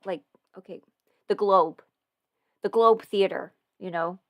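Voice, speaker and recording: A woman's voice: medium pitch, takes it slowly, dry recording. A young woman in her twenties hosting a casual livestream Q&A from home, talking close to the microphone with lots of fillers and giggles. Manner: rambling, giggly, many fillers. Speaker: livestream host answering questions. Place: home, eating ramen.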